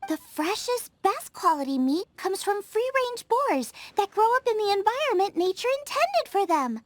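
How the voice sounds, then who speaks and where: A woman voicing a bubbly young female chef in a video game dub, eager, food-obsessed and quick to get excited. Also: very high-pitched, no room sound. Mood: earnest. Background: soft game music.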